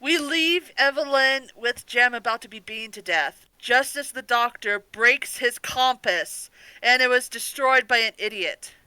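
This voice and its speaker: A woman with a bright, crisp voice, pitched a little high and recorded dry and close. She chats casually as a podcast co-host, with sweary exclamations. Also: a little slow, no echo, low-quality recording. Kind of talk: casual review chat.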